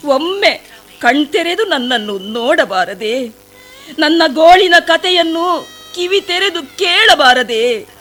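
A woman's voice: high-pitched, lively intonation, slow pace, sharp tone, full character voice. A woman voicing a grieving young forest woman in a devotional radio play, pleading tearfully and dramatically.

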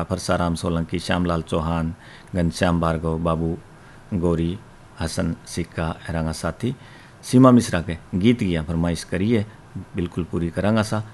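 A man's voice: deep voice, a little mellow, slight background noise. A man with a Rajasthani accent hosting a radio request show, reeling off long lists of listener names and places. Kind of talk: reading out listener letters.

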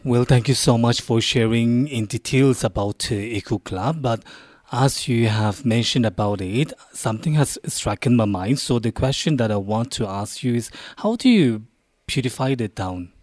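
A male radio host conducts a live interview. He is courteous and formal, and he turns to greet his listeners directly. His voice sits at a middle pitch and is recorded dry and close. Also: moderate pace, a little mellow, no room sound.

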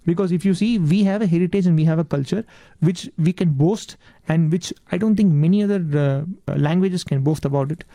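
A man who speaks Tamil natively, with a Tamil Indian accent and a mellow, creaky voice in a dry recording. He answers as an interview guest, measured and courteous, often restating a point for emphasis. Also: fairly high pitch, dry recording.

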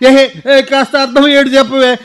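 A man's voice: extremely high pitch, completely flat delivery, fairly quick. A man playing a bewildered young husband. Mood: coaxing.

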